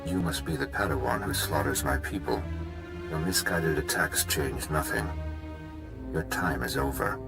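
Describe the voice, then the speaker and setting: An actor playing a bitter, vengeful fallen Jedi master, speaking with cold menace in a game cutscene. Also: male voice, a bass voice, moderate pace. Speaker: villain in a video game cutscene. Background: game score music.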